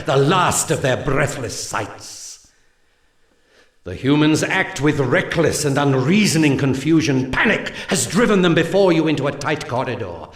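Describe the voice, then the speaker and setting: An actor playing a solemn, commanding alien seer who briefs his warriors in grave, measured, mystical pronouncements for a 1990s game cutscene. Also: on the high side, fairly bright tone. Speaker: mission briefer. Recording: roomy reverb on the voice.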